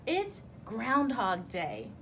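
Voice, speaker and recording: A woman's voice: a little high, huge pitch swings, extremely slow, a little hiss or hum, heavily acted. A woman voicing an eager, insistent young girl groundhog in a children's storybook. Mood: impatient, bright.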